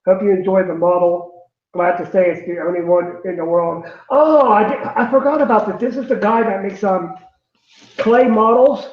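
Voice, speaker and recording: A man in his late thirties on a video call, chatty and halting, laughing often between words. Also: high-pitched, fairly even intonation, echoey room, lo-fi sound. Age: late 30s. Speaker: guest. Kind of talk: casual video call chat.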